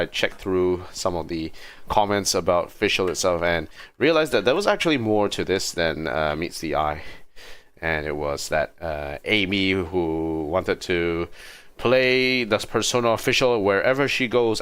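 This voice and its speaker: Slow, low-voiced man hosting a stream. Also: a bit bright, clean tone. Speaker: livestream host. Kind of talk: casual gaming livestream talk. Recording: music behind the voice. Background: background music.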